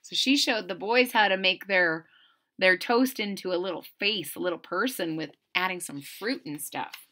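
A woman reading a picture book aloud expressively, pausing to explain and ask young listeners simple questions. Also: medium pitch. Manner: animated, explanatory, addressing children with questions. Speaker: reader of a children's picture book.

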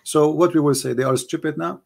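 A man's voice: a little high, fairly even intonation, fairly quick, gravelly, darkish tone. A man whose first language is Arabic, arguing emphatically in a call-in debate, repeating himself, with non-native grammar. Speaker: debate show host.

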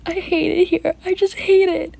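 A woman's voice: extremely high pitch, little pitch movement, normal speed, creaky, darkish tone, no room sound, voice pushed up, rough recording. A woman playing a young sick girl, bitter and sarcastic, in a homemade skit. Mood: angry, bitter. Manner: sarcastic, resentful complaints.

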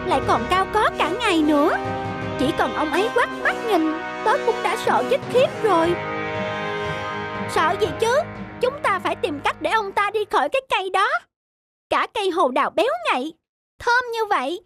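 Very high, childlike cartoon squirrel. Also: female voice. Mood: plotting. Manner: childish, excitable. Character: small, childlike, mischievous young squirrel. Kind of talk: cartoon voice acting. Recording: faint music behind.

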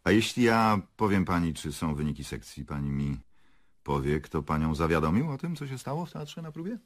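An actor playing a sardonic, curt public prosecutor in a radio drama, asking pointed questions. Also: male voice, sits low, very animated, brisk. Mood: needling. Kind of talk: Polish radio drama acting.

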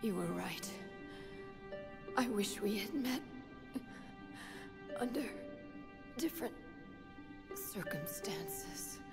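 A woman playing a gentle, dying young warrior princess in a video game, weak and failing. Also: mid-range voice, expressive, drawn-out words, gravelly, long pauses, over music, heavily acted. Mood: weak, tender. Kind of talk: dramatic video game voice acting.

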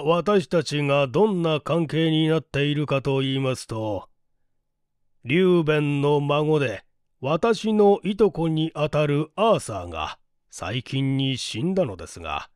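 A middle-aged gentleman as a man acts him explains himself with troubled courtesy in a mystery audiobook. The voice is fairly high and resonant, the delivery slow and formal, in a dry close recording. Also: clean tone, dry recording.

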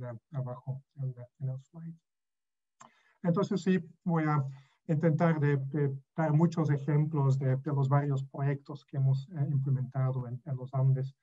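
Man from Belgium, non-native speaker, flat even delivery, mellow voice, muffled lo-fi call audio. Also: a little high. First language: not Spanish. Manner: hesitant, many fillers and restarts. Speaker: professor. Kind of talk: online lecture. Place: room at home. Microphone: webcam on a video call. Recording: dull lo-fi video call audio.

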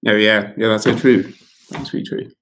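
A man's voice: lowish voice, level tone, moderate pace, a little hiss or hum. A man in his thirties, an author being interviewed over a video call from home, talking casually with many fillers and restarts. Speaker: author guest. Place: home room with curtains.

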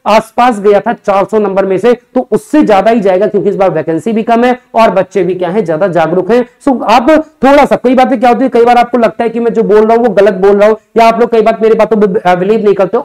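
A man's voice: sits high, darkish tone, poor audio. A young man teaching students in an emphatic, conversational way, often asking and answering his own questions. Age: young adult. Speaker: exam coaching teacher. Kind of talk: explanatory lecture to students. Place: indoor teaching studio in front of a digital board.

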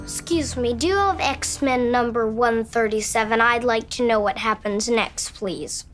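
A man's voice: extremely high pitch, unhurried, over music. A boy actor playing a fussy, pedantic genius child in a sitcom, talking in a precise, formal way. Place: comic book store.

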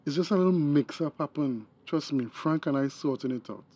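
An actor playing a smooth-talking, scheming boyfriend in a radio drama, coaxing and reassuring, with a Tobagonian accent. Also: male voice, on the high side, lively intonation, fairly quick, warm tone, no room sound. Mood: coaxing, pleading.

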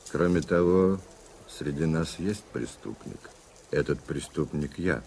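An actor playing a sardonic, impatient reformed master criminal in a radio play, speaking with wry mockery in a husky voice. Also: male voice, very deep voice, a little mellow, a little hiss or hum, exaggerated voice. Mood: wry, confessional. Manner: wry, mocking, deliberate. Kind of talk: 1980s radio play acting.

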